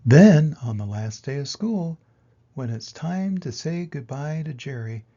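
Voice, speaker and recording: An older man reading a children's picture book aloud in an expressive way, with dramatic pauses and emphasis. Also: a little high, dull audio. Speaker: reader of children's picture books. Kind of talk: animated storybook read-aloud.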